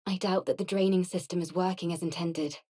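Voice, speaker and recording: An actress playing a calm, capable female warrior in a game cutscene, speaking matter-of-factly. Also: lowish voice, brisk, husky. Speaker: diver volunteering to check a drain. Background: game music.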